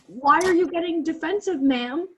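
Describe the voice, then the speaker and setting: High-pitched earnest female radio reporter played by a student. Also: normal speed, a bit bright, highs cut off, slight background noise. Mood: probing. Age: young adult. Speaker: student actor. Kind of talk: comedic radio play acting. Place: small bedroom at home. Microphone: laptop on a video call.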